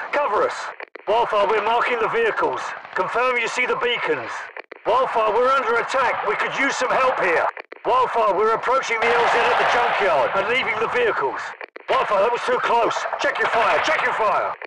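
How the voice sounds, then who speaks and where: An actor playing a gruff, commanding British special forces captain in a video game, giving terse, urgent combat orders. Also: male voice, sits high, recorded from a distance, heavy background noise. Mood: urgent radio calls. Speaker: military squad leader in a video game. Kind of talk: video game battle chatter.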